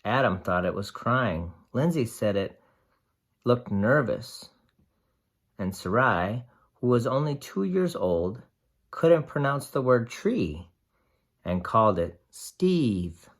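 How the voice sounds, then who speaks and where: A storyteller reading a picture book aloud to young children in a deliberate, expressive way, pausing to explain simply. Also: mid-range voice. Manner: slow, deliberate, explains and asks simple questions.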